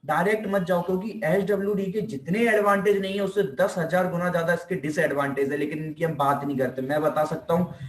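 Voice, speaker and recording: A man's voice: fairly high pitch, fairly even intonation, fast, few pauses. A man with an Indian accent giving an informal, emphatic physiotherapy lecture, repeating key phrases, asking rhetorical questions and mixing in English technical terms. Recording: echoey room, distant microphone.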